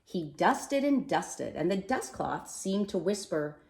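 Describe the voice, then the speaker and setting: A woman reading a children's picture book aloud in an expressive, animated way, with dramatic pauses and emphasis. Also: fairly low pitch, vocal fry. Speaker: storybook reader. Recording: roomy, echoey sound.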